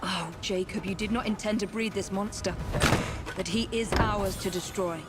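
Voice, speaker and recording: An actress playing a resolute, commanding assassin with a British accent in a video game cutscene. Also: female voice, mid-range voice, steady tone, normal speed, natural voice. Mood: grave, resolute. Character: determined middle-aged female assassin hunting a killer. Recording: music behind the voice.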